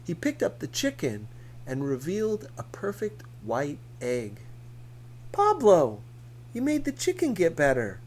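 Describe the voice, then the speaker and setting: A man reading a novel aloud as scripted narration, in a measured, deliberate manner. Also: on the high side, darkish tone, slight background noise, poor audio. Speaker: audiobook reader.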